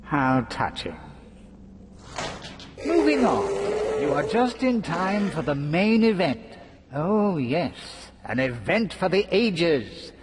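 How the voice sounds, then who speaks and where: Gleeful, theatrical, taunting villain, swooping pitch. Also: male voice, very animated, noisy background. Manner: taunting, theatrical, drawn-out sarcastic delivery. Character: gleeful mocking theatrical villain, a trickster schemer. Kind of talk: video game cutscene villain acting.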